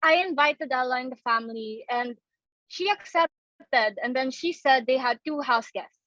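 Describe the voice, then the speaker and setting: A woman's voice: high voice, normal speed, sharp tone, no echo, muffled recording. A woman playing an anxious, earnest young society daughter in a dramatic reading of a stage comedy, with a pleading, theatrical delivery. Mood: flustered.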